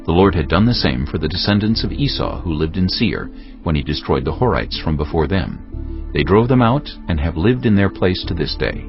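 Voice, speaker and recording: A man narrating scripture with an expressive, lively delivery. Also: low-pitched, fairly quick. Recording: dull lo-fi audio with music.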